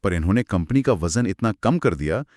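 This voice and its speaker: Animated low-voiced man explaining. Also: fairly quick, dry recording, clean studio sound. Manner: explanatory, emphatic, mixes English terms into speech. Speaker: co-host explaining business results. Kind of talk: conversational explainer dialogue.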